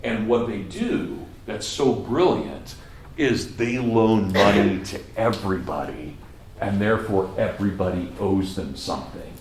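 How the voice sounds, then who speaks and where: A man with a Kansas accent giving a college lecture, telling history as a lively story and repeating words for emphasis. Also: fairly low pitch, unhurried. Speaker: college professor. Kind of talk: classroom lecture. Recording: echoey classroom from far off, faint noise.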